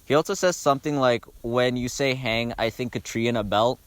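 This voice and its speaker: A man's voice: mid-range voice, fairly quick, smooth. A young man talking casually and bluntly about songs as a video host, swearing freely.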